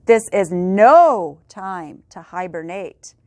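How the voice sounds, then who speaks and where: A woman's voice: lowish voice, lively intonation, takes it slowly, darkish tone, no echo, clean studio sound, pitched below the performer's own voice. A woman voicing a coaxing, pleading male mayor in a picture-book story.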